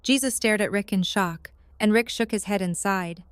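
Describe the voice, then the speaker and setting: A woman's voice: mid-range voice, moderate pace, clean tone, no room sound. A woman reading scripted third-person narration for an audio drama.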